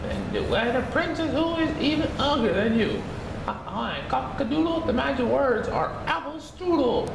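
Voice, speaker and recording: A man's voice: extremely high pitch, sing-song, moderate pace, creaky, pitched above the performer's own voice, exaggerated voice. A man voicing a gleeful storybook witch who croons her rhyming spells in a sing-song chant. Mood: mystical chanting. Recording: roomy, noisy home recording.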